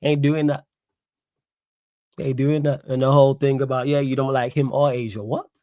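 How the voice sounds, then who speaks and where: A man's voice: mid-range voice, flat delivery, moderate pace, dark tone, dry recording, clean studio sound. A young man with a Manchester accent hosting a live stream, reading chat aloud and talking back indignantly, repeating himself for emphasis. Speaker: livestream host.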